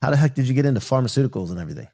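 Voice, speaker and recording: A man's voice: medium pitch, animated, rapid-fire, creaky, mellow, no echo. A man hosting a casual interview, talking with energy and emphasis in loose slang. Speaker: podcast host.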